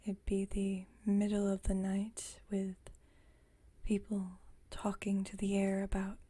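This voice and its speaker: A woman acts out a wry, gentle female vampire roommate in an ASMR roleplay. She speaks in a soft, husky whisper with a very even, narrow pitch. Her delivery is unhurried and nostalgic. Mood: dryly amused.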